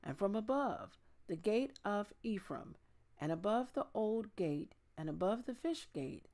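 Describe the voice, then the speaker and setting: A woman hosting a Bible reading, speaking in a measured, deliberate manner as she reads aloud and talks informally to listeners. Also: mid-range voice, sing-song, gravelly, a little mellow. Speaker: host of a daily Bible reading. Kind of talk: reading scripture aloud with casual asides.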